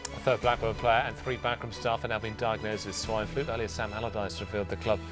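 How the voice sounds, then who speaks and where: Fast British male headline reader. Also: on the low side, little pitch movement. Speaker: sports news presenter. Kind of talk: scripted headline reading. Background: music bed.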